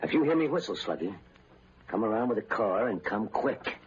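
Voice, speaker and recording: An actor in a vintage radio drama playing a tough, menacing gang boss, curt, slangy and commanding. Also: male voice, lowish voice, sing-song, moderate pace. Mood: bossy, commanding. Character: tough, bossy male gangster leader, menacing. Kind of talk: 1930s-40s radio drama acting. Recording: muffled, noisy vintage radio recording.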